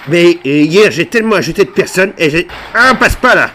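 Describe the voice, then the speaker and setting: A man's voice: high voice, lively intonation, fairly quick, pushed and bright, a little hiss or hum. A man improvising game roleplay banter in a cheeky, swearing, provocative way on a gaming microphone. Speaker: video creator playing a prankster in online roleplay.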